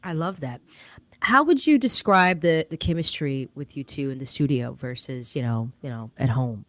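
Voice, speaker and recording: Deep-voiced woman interviewer, dry sound. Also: lively intonation, normal speed, no echo. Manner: warm, affirming, rambling questions. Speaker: interview host. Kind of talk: podcast interview.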